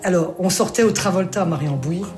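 A woman, chatting about her past in a relaxed interview, full of fillers and restarts. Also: on the low side, brisk. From Belgium. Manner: chatty, animated, many fillers. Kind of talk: casual storytelling interview. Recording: music playing underneath.

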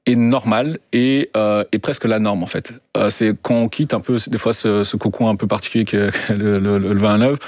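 A man's voice: on the low side, steady tone, moderate pace, hardly any pauses, a little mellow, dry recording. A young man, a guest telling his story in an interview, hesitant with many fillers and restarts. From Belgium. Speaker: guest, documentary co-director. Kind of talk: radio interview, personal story.

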